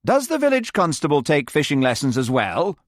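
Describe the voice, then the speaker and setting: A man voicing an imperious heavy-set upper-class woman with a high, loud, grating voice. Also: huge pitch swings, takes it slowly, no room sound, full character voice. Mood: haughty. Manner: haughty, demanding.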